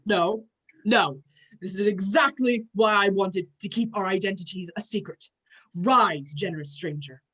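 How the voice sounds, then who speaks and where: A woman's voice: mid-range voice, measured pace, fairly bright tone, slightly rough, low-quality recording. A woman playing a proud, boastful male thunder god in an amateur myth reading, pompous and declamatory. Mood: stern, gracious.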